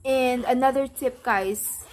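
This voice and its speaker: A woman's voice: sits high, slow pace, a little hiss or hum. A Filipino woman whose first language is Tagalog, giving call center advice in a casual vlog and repeating phrases for emphasis. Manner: repeats phrases for emphasis, says "okay" and "guys" often. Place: unfinished house with rain on the roof.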